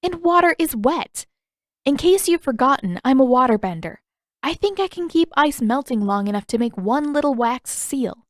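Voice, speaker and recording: A woman voicing a confident, teasing teenage girl in an audiobook-style story, with a brisk, playfully sarcastic manner. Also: high-pitched, lively intonation, no room sound, clean studio sound, pitched above the performer's own voice. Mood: cocky.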